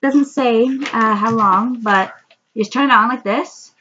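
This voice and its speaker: A teenage girl with a bright, forward voice chats her way through a toy review while sitting on her bedroom floor. She explains each step in turn. The sound comes from a built-in webcam mic and is dull, with faint hiss. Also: fairly high pitch, measured pace. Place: bedroom, indoors, seated on the floor.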